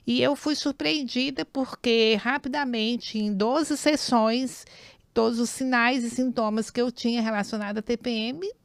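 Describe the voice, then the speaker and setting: A woman's voice: a little high, steady tone, unhurried, clear, resonant tone, no echo. A Brazilian woman in her late fifties, a podcast guest talking about herself in a conversational, storytelling way. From São Paulo and Goiânia, Brazil. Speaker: podcast guest, nurse and acupuncturist.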